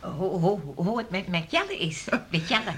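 An actress playing a nosy village neighbour in a radio drama, halting and repeating her words. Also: medium pitch, very animated, fairly bright tone. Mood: hesitant, curious. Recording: noisy old radio recording.

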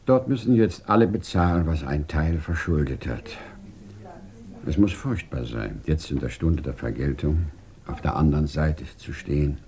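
An actor playing a compassionate, grave older man who gives measured, solemn, gentle counsel in a 1950s German radio play. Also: rumbling low, expressive. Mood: sympathetic. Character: compassionate, grave older man, long-time emigrant offering moral counsel.

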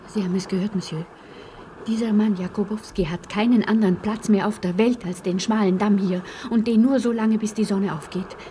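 A woman's voice: medium pitch, steady tone, brisk, mellow, natural voice. A woman playing a passionate, noble French patriot in a German radio play, with fervent, solemn, theatrical delivery. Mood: grave, fervent. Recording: noisy old radio play recording.

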